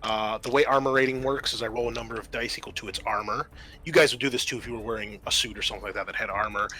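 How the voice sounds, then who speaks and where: A man's voice: lowish voice, steady tone, very fast, bright, forceful tone. A man acting as game master, narrating the scene and explaining the rules of a tabletop roleplaying game.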